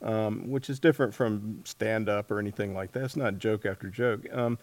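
Male interviewer, fairly low pitch, dry close sound, casual and halting as he forms questions. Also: fairly even intonation, a little mellow, no echo. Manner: halting, thinking aloud. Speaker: interviewer, host.

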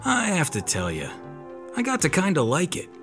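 A man playing a tough, sardonic ex-convict private eye in a hardboiled pulp audio drama, with deadpan delivery. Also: on the high side, normal speed, faint music behind. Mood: brooding. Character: tough, wry ex-convict private detective with magic.

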